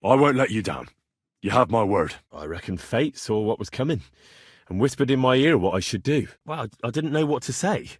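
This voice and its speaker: A man's voice: medium pitch, lively intonation, a little fast, breathy edge, no room sound, clean studio sound. An actor playing a plain-spoken, earnest and sometimes cheeky young Bohemian swordsman in a medieval video game. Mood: earnest, determined, then apologetic, hesitant. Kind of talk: video game voice lines, naturalistic dramatic acting.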